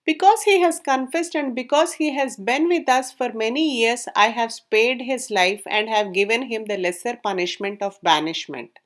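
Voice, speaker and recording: Woman voicing storybook king, high-pitched, stern yet merciful, formal, regal. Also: normal speed, hardly any pauses, natural voice. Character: stern but merciful male king of an old tale.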